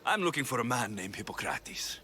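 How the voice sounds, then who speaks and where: A man's voice: mid-range voice, sing-song, a little fast, bright, forceful tone, slight background noise. A man playing a blunt, curious young Spartan mercenary in a video game cutscene. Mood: curious, direct. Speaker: lead character in an action adventure game.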